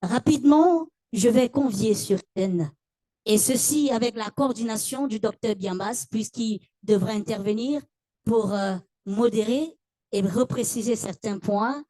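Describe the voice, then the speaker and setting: A woman's voice: a little high, measured pace, mellow, no echo. A woman, presenting a formal ceremony as master of ceremonies in a stately, ceremonious manner. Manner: ceremonious, stately, often addressing the audience.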